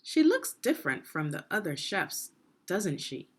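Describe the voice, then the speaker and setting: A woman reading a children's story aloud in a deliberate, expressive teaching manner, putting questions to the listener. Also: fairly low pitch, breathy edge. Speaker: education consultant reading a children's book aloud.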